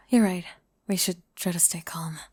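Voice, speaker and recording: A woman's voice: fairly low pitch, fairly even intonation, slow pace, a bit bright, dry recording. A woman playing a sweet pop star, close and dry. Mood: concerned. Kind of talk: scripted ASMR audio roleplay.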